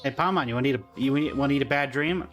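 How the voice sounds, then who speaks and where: A man's voice: medium pitch, rapid-fire. A man giving casual, playful, joking reaction commentary as he streams a video game. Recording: game music behind. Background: game music.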